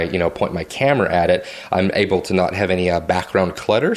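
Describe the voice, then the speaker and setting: A man with a deep voice answering interview questions casually at home, with lots of fillers and restarts. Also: slight background noise. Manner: relaxed, chatty, frequent fillers and restarts. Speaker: tech video maker interviewed as a guest. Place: home basement office.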